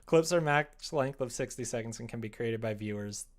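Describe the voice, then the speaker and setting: A man's voice: mid-range voice, moderate pace. A young man chatting casually and playfully as a livestreamer, reading and answering his viewers at his computer. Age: young adult. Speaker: streamer. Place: small room at home, indoors.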